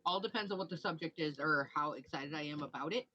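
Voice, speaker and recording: A man co-hosting a casual livestream, projecting his voice and talking at length when excited. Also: quick pace, muffled recording, slight background noise. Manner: says he projects, bassy and animated when excited. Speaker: stream co-host. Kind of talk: casual group chat.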